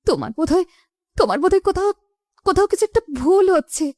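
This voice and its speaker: A woman's voice: extremely high pitch, big pitch swings, quick pace, darkish tone, no room sound, clean studio sound. A woman voicing an easily shocked middle-aged village woman in a melodramatic cartoon, exclaiming and repeating her words. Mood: shocked disbelief.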